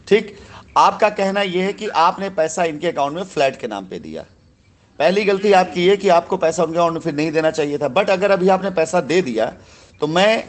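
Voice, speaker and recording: A man speaking with authority as a company boss mediating a dispute on a recorded phone call, often cutting in and repeating himself. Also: a little high.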